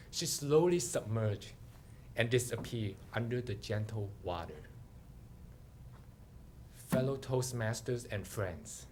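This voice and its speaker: A man giving a dramatic storytelling speech, with theatrical, deliberate phrasing. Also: medium pitch. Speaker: club speaker telling a story.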